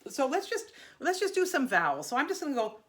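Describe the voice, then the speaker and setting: A woman teaching an online singing lesson in a gentle, chatty way, her voice a little congested from allergies. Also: high-pitched, wildly swooping pitch, brisk. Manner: gentle, chatty, demonstrating vocal sounds.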